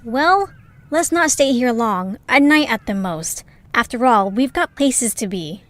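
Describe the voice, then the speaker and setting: A woman's voice: on the high side, expressive, unhurried, a bit bright, dry recording. A woman playing a bossy, scornful female creature in an amateur audio drama, sarcastic and brusque. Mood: brisk, bossy.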